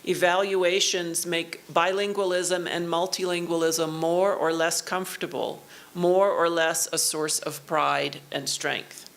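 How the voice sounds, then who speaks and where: A woman delivers an academic lecture in a university auditorium. Her voice sits fairly low and she speaks very slowly, in short measured phrases with pauses between them. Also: little pitch movement, gravelly. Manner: slow, measured, pausing for the interpreter. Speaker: keynote lecturer. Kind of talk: academic lecture in English with consecutive interpreting.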